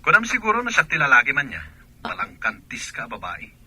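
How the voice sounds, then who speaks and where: A man playing a husky, jealous soldier husband. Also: medium pitch, wildly swooping pitch, fairly quick, pushed and bright, exaggerated voice. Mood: accusing.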